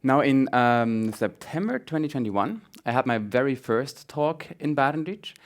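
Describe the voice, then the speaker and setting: A man's voice: mid-range voice, lively intonation, unhurried. Swiss German man giving a tech talk. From Switzerland. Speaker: conference speaker, front-end developer.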